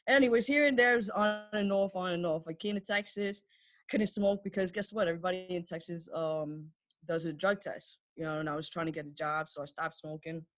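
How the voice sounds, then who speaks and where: A woman's voice: on the low side, steady tone, fairly quick. A woman in her thirties from New York, a podcast guest telling her story in casual chat, candid and laughing often. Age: 30s.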